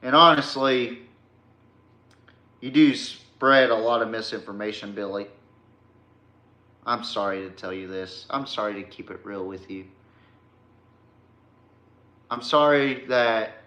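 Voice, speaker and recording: A man's voice: medium pitch, bright, forceful tone, roomy sound. A man hosting a casual solo podcast, talking bluntly and with casual swearing into a podcast microphone. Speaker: podcast host.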